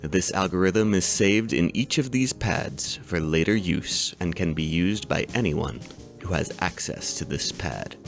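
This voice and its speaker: A deep-voiced robotics professor. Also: male voice, vocal fry, faint music behind. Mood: lecturing. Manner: measured, explanatory lecturing. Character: calm adult male robotics professor. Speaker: doctor teaching a robotics class. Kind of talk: audiobook character reading.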